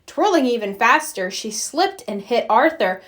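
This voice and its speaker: Slow, higher-voiced woman reading a storybook. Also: sing-song, a bit bright. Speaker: storybook reader. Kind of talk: expressive children's read-aloud narration.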